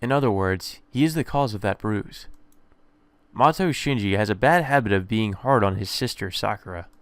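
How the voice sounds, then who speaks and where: A man's voice: lowish voice, normal speed, fairly bright tone, smooth, no echo. A man reading visual novel narration aloud as a let's play host, with casual asides.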